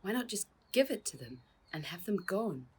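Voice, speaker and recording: A woman's voice: mid-range voice, big pitch swings, moderate pace, breathy edge, voice pushed up. A woman reading the part of a worried, devoted girlfriend in a children's storybook, earnest and caring. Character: worried, tender, devoted girlfriend of injured man.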